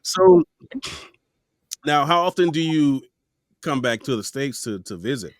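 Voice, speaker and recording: A man's voice: a little high, unhurried, creaky, no echo. A man hosts his podcast with a loose, joking manner, his voice swinging widely up and down as he talks. The sound is dry and close, with no room around it. He chats with a guest over a remote video call. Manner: playful, animated, joking asides. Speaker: podcast host. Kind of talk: casual interview chat.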